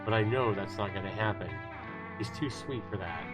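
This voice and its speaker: A man's voice: fairly low pitch, normal speed. A man reading visual novel narration aloud on a livestream, telling it dramatically with the occasional stumble. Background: guitar music.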